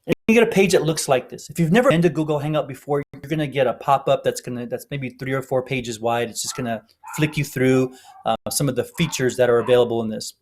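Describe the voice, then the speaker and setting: A man's voice: medium pitch, fast talker, darkish tone. A man giving an informal, step-by-step tutorial narration as an instructor. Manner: explanatory, step-by-step.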